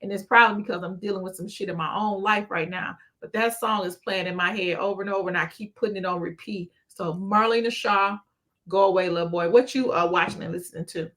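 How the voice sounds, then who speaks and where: Podcast co-host, woman, crisp bright tone, rants bluntly and swears freely. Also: mid-range voice, quick pace. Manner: blunt, profane, animated ranting. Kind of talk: casual chat.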